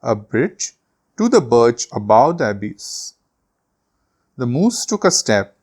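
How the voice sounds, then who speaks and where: A man hosting a children's read-aloud, telling a storybook in an animated, theatrical way. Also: mid-range voice, slow.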